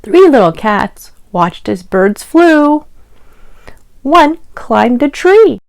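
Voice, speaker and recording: A woman leading a children's storytime, reading aloud in an expressive sing-song way and pausing after questions. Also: a little high, gravelly, no room sound. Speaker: library storytime reader. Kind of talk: interactive children's read-aloud.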